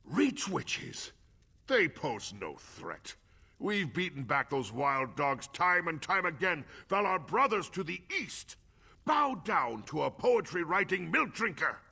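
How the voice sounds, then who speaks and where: An actor playing a proud, suspicious, grieving High King in a fantasy video game, haughty and scornful, moving between hushed menace and roaring. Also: big pitch swings, slow pace, creaky, husky, exaggerated voice.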